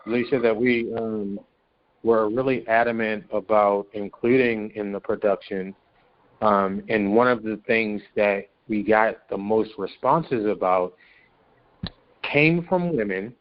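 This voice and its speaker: A man speaking as a guest in a panel discussion, measured and explanatory. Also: on the low side, highs cut off. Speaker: podcast guest from a stage play production.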